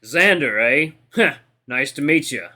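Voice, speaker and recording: Man doing a booming, amused dinosaur fighter. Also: expressive, a little slow, bright, forceful tone, full character voice. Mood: amused, condescending. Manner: mocking, booming, casual slangy talk. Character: huge scarred dinosaur veteran fighter, amused and gruff.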